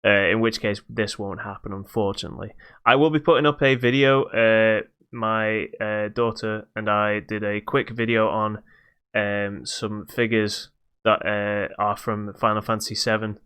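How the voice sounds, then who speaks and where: A middle-aged man co-hosting a casual podcast, talking in a halting, hesitant way. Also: on the low side, little pitch movement, a bit bright, clean tone. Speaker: podcast co-host.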